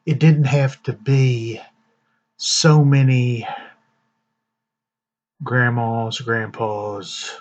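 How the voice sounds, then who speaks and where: A man hosting his own channel, giving casual news commentary in a slow, deliberate way with fillers and long pauses. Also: medium pitch, fairly even intonation, darkish tone, dull audio. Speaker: vlog host.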